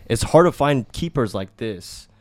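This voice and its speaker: A man's voice: mid-range voice, animated, measured pace, a little mellow, no echo. A young man hosting a podcast, chatting with friends in a casual, slangy way, swearing and laughing often. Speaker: podcast host.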